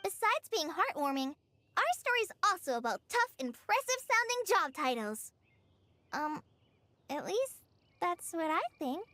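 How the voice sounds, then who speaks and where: A woman voicing an excitable, childlike little fairy companion in a video game, squeaky and bubbly. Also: unhurried, exaggerated voice. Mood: eager.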